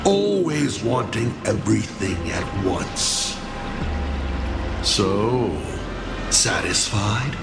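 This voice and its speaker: A man's voice: fairly low pitch, animated, gravelly, echoey room, loud music behind the voice, exaggerated voice. A man voicing a theatrical, playful villain lord in a video game, teasing and grandiose in his delivery. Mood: mocking, indulgent. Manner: teasing, grandiose, drawn-out phrasing. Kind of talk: video game character acting.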